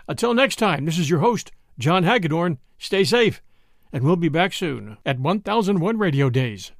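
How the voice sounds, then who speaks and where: Friendly, upbeat host outro, high voice, bone-dry close mic. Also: male voice, sing-song, normal speed, no room sound. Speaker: podcast host. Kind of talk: scripted show outro.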